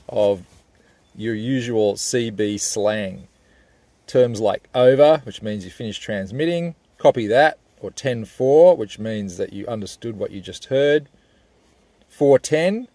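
A man's voice: mid-range voice, a little mellow, no room sound. A man in his forties with an Australian accent, talking casually and unscripted as a laid-back, colloquial vlogger. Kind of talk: casual, unscripted vlog talk.

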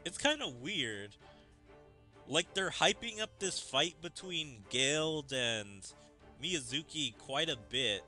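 Guy streaming a game, very bright forceful voice, pretty animated, music behind. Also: a little high, slow. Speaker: gaming streamer. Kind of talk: casual game commentary and read-aloud of dialogue.

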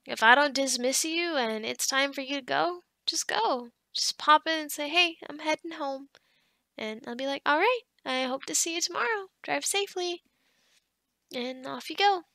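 Girl acting as nice encouraging lady boss, asmr roleplay, high voice w lots of vocal fry, super dry and close, kinda hesitant. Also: bright, forceful tone, no echo, exaggerated voice. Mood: reassuring, gentle. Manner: hesitant, conversational, with sighs and pauses. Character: kind, encouraging new female office boss, devout.

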